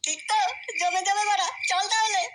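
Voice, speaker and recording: A woman's voice: extremely high pitch, fairly quick. A woman voicing a brash, foul-mouthed, hot-tempered young man in a crude comedy cartoon. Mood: brisk. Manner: crude, boastful, slangy. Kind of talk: adult comedy cartoon dialogue. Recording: noisy track with chirping birds.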